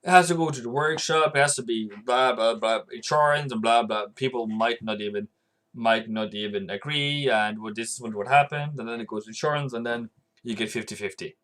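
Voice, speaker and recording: A man's voice: medium pitch, fairly quick, a bit bright. A man from Norway chatting casually on a trading livestream, rambling and answering viewers' comments as they come in.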